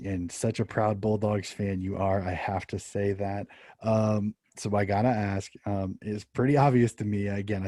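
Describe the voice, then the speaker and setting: Male podcast host, low warm voice, dry close sound, upbeat, repeats himself starting questions. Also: no room sound. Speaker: interview host. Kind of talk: podcast interview.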